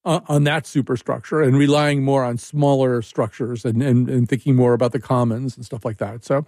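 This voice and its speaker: A man's voice: mid-range voice, fairly even intonation, normal speed, a little mellow, no room sound, studio quality. A man hosting a call-in radio show, talking casually in a rambling, wry way and often restarting his sentences. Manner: rambling, self-interrupting, wry.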